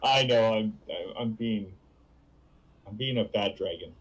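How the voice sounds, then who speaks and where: A man's voice: on the low side, a little slow, low-quality recording. A man chatting casually as a co-commentator on a game stream, dropping short joking asides. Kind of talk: casual chat. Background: background music.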